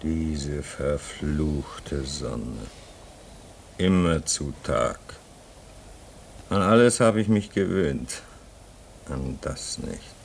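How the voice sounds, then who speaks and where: A man's voice: a bass voice, expressive, creaky, a little hiss or hum, exaggerated voice. An actor playing a weary, homesick man stuck at a polar station, speaking in a brooding, bitter way. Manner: brooding, bitter, slow and drawn out. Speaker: radio drama actor. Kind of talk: 1950s-style German radio play acting.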